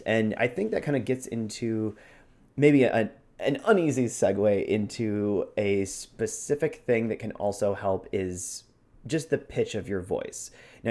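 A man's voice: fairly low pitch, darkish tone. A man co-hosting an instructional chat about character voices, explaining things in an informal, conversational way. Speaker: co-host with acting background.

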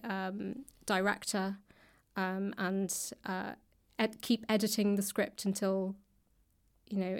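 A woman's voice: mid-range voice, vocal fry. A woman speaks as an interview guest with a mid-range voice. She explains things thoughtfully in a natural, conversational way, working through her points as she talks. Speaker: interview guest, author and actor.

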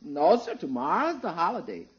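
An actress playing a sassy, good-humoured American housekeeper in a 1950s radio comedy, folksy and teasing. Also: female voice, fairly low pitch, huge pitch swings, normal speed. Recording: dull vintage radio recording.

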